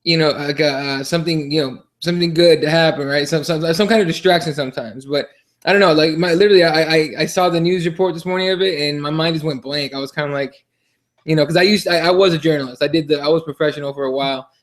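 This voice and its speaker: Male podcast co-host, higher-pitched voice, casual sports chat, unpolished and repetitive delivery with swearing. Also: fast.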